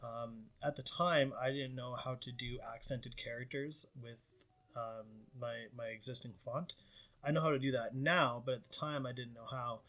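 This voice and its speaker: A man's voice: medium pitch, steady tone, moderate pace, fairly bright tone. A man from Canada, an indie game developer talking through his game in a casual, rambling devlog with lots of fillers. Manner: rambling, self-deprecating, frequent fillers.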